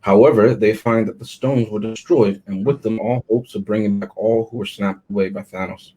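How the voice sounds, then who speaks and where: A man with a fairly low, dark voice reads a scripted synopsis. His delivery is measured and dramatic. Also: steady tone, slightly rough, poor audio. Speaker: show panelist reading synopsis.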